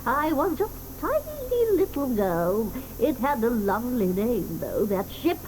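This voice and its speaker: A frail, ancient grandmother: doddery, sharp-tongued and quavering, pitched a little high. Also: big pitch swings, measured pace, a little hiss or hum, rough recording. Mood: reminiscing. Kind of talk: 1980s studio radio play acting.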